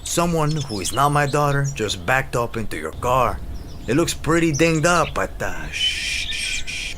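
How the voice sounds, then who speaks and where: An actor playing a shady, cheerful comedy client who talks in a sly, conspiratorial, slangy way. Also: male voice, medium pitch, big pitch swings, unhurried, sharp tone. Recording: noisy outdoor sound with birdsong.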